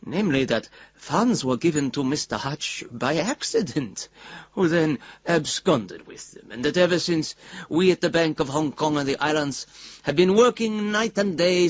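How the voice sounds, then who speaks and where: A man's voice: a little high, lively intonation, normal speed, lo-fi sound, natural voice. An actor playing a self-justifying male bank president, giving a formal, emphatic statement in a radio drama. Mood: defensive, indignant. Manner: pompous, emphatic corporate delivery.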